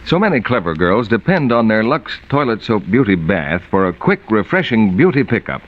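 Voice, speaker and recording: A man's voice: lowish voice, very animated, moderate pace, slight background noise. A man delivers scripted announcements in classic 1940s radio style. His intonation swings widely, with polished, emphatic salesmanship. The recording is dull and dated. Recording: muffled vintage 1940s radio broadcast.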